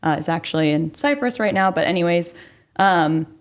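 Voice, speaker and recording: A woman's voice: fairly low pitch, lively intonation, normal speed. A young adult woman who grew up abroad, speaking as a podcast guest in a friendly, articulate way and often saying "like". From grew up abroad, Kuwait, Philippines, UAE. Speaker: guest, marketing director. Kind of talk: casual interview chat.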